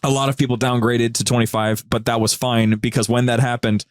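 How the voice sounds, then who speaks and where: Man in animated, rambling casual chat. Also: medium pitch, a little fast, dry recording, clean studio sound. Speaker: podcast guest, co-host of a gaming media group.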